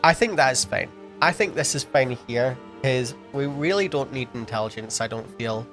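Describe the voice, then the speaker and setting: A man's voice: mid-range voice, lively intonation, moderate pace, a bit bright, faint music behind. A man commentating a video game at a casual pace, sniffly, thinking aloud with frequent ums and restarts. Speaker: gameplay commentator. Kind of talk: casual let's-play commentary.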